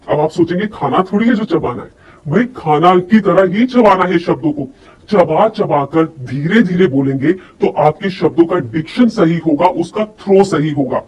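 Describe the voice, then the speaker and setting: A woman's voice: fairly low pitch, expressive, moderate pace, a little mellow, breathy edge. A woman giving an instructional lesson as a voice coach, speaking directly to listeners and posing questions to them. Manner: addresses listeners directly with demonstrations and rhetorical questions. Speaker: voice and personality development coach. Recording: distant microphone, faint background noise.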